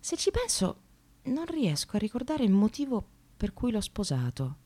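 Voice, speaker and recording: An actress playing a sardonic, separated working mother in a radio monologue, confessional and swinging from hushed to vehement. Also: a little high, wildly swooping pitch, normal speed. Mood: weary, bitter. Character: Sara, bitter, self-mocking separated mother in precarious work.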